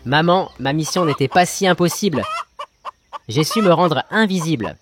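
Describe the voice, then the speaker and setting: Actor as young male adventurer, cheeky, eager, theatrical, mid-pitched, storybook cartoon. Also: lively intonation, moderate pace, faint music behind, lightly acted. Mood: proud, protective. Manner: theatrical, rhyming, boastful. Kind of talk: cartoon fairy-tale character acting.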